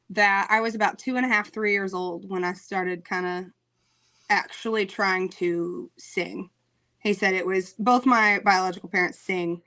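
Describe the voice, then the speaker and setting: A woman singer talking casually in an interview, chatty, laughing often, with many "um" pauses. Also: mid-range voice, fairly bright tone.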